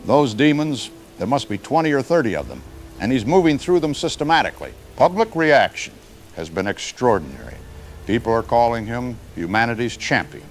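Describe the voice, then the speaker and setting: A man playing a grave news anchor in an audio drama, delivering formal, urgent crisis reports in a measured way. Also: medium pitch, close to an everyday voice. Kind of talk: scripted broadcast news reporting.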